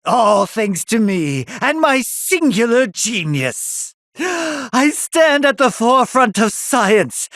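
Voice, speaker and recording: An arrogant, aging mad scientist, a sinister video game villain. His voice sits a little high and swoops wildly as he savors each pompous, mock-courteous phrase. It sounds roomy, as if he stands back from the mic. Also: a bit bright, distant mic, heavily acted. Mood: grandiose, self-admiring. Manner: pompous, mock-polite, drawn-out theatrical phrasing. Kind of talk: theatrical video game voice acting.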